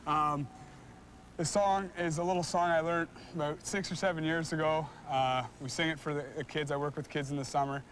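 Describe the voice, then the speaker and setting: A young man, a hockey player, giving casual, halting interview answers with repeated words and frequent filler phrases. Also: fairly high pitch, steady tone, a bit bright, a little hiss or hum. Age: young adult.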